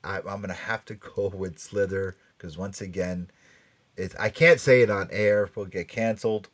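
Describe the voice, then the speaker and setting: A man hosting a pop culture talk show, bantering with the panel in an excitable, emphatic, teasing way. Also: deep voice, moderate pace.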